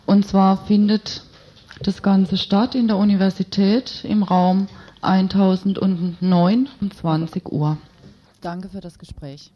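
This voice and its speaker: A woman interviews on the radio in an informal style. Her delivery is slow and a little tentative, as if finding the wording while speaking. The sound is somewhat muffled. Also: medium pitch, steady tone. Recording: dull, lo-fi radio recording.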